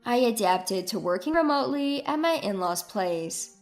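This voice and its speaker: High, animated woman narrating a story. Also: normal speed, soft background music. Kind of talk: scripted first-person story narration, acting out dialogue.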